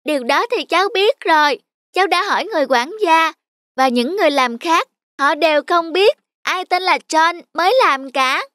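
A woman's voice: very high voice, moderate pace, fairly bright tone. A woman voicing a sweet, lonely little boy in a cartoon, earnest and polite. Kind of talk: cartoon voice acting. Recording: music behind the voice.

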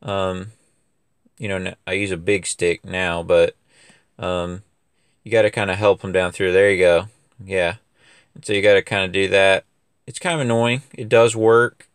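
A man's voice: deep voice, steady tone, normal speed, long pauses, clean tone, dry recording, studio quality. A young man running a lawn care business, chatting casually in a loose, rambling way full of fillers and restarts. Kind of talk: casual equipment review vlog. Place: outdoors in a wooded yard.

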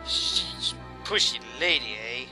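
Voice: medium pitch, lively intonation, slow, bright, forceful tone, faint music behind, heavily acted. Someone voicing a flippant, whiny young male hero in a comic fantasy game dub. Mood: sarcastic. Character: goofy, sarcastic young male adventurer hero.

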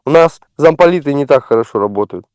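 A man's voice: a little high, animated, fast, a little mellow, clear, resonant tone, dry recording. A man chatting casually and sounding unsure of his facts.

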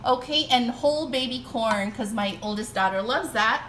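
A bright, slightly high-voiced woman in middle age chats about her groceries, upbeat and full of fillers. She talks into a handheld phone in a home kitchen. The room sounds echoey, with faint background noise. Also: moderate pace. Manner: chatty, upbeat, lists items with frequent fillers. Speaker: vlogger. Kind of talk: casual grocery haul chat.

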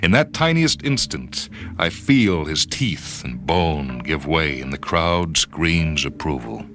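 A man reading a short story for radio as a brooding first-person narrator, with dramatic pauses. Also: extremely low pitch, sing-song. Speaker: story reader. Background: music and sound cues.

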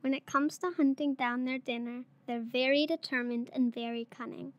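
A woman's voice: sits high, steady tone, normal speed, no room sound, lo-fi sound. A girl of about eight or nine, a third-grade pupil, reading a picture book aloud. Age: child, around eight or nine.

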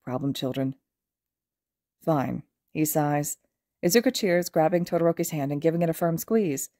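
Mellow woman narrating fiction. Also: deep voice, fairly quick, no room sound. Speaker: podfic narrator.

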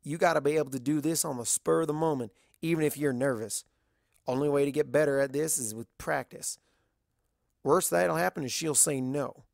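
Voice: medium pitch, brisk, vocal fry, dry recording, studio quality, close to an everyday voice. A narrator voicing a confident, plain-spoken pony mare who pushes and encourages a friend in a story. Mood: teasing, pushy. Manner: pushy, encouraging.

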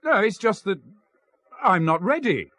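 A man's voice: sits high, very animated, unhurried, pushed and bright, dry recording, exaggerated voice. An actor playing an evasive, apologetic, strained married man in a radio drama, with an English accent and a polite, hesitant, clipped manner. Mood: flustered, defensive. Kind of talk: 1970s radio drama acting.

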